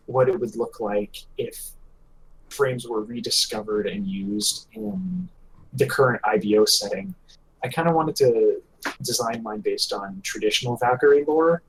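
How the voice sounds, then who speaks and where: A man talking casually as a podcast co-host, in a halting, hesitant delivery. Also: mid-range voice, slight background noise, poor audio. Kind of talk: casual hobby podcast chat.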